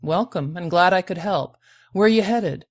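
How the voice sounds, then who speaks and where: A cool, wary bounty hunter from a space story, acted by a woman reading aloud. She is a woman with a low voice, matter-of-fact and sure of herself in audiobook dialogue. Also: unhurried, a little mellow, no room sound, studio quality. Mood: welcoming. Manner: matter-of-fact, self-assured. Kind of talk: audiobook character voice.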